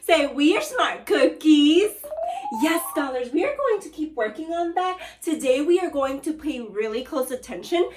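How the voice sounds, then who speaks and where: A woman teacher reading a storybook aloud to young children, with animated call-and-response prompts. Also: sits high, moderate pace, few pauses, reverberant, a little hiss or hum. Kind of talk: read-aloud lesson for children.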